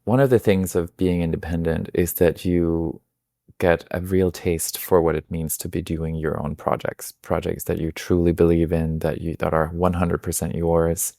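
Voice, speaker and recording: A man's voice: deep voice, little pitch movement, normal speed, gravelly, dark tone, no room sound, clean studio sound. A man, answering interview questions in a thoughtful, reflective way. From Denmark. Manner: thoughtful, reflective, with small restarts. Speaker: guest, magazine founder.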